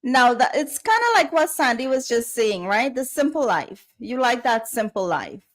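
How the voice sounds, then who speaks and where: A woman's voice: on the high side, very animated, moderate pace. A woman hosting a conversational interview. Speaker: interview host.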